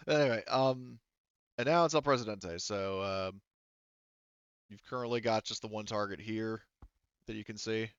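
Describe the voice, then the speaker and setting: A man's voice: lowish voice, normal speed, pauses often, clean tone, no echo, dull audio. A man narrating a tabletop roleplaying game as game master in a casual way, thinking aloud and swearing freely.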